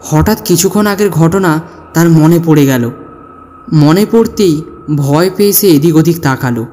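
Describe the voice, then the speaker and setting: A man narrating a scripted horror story in a measured, solemn, dramatic way. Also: a little high, a little mellow, a little hiss or hum. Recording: long reverb, music behind.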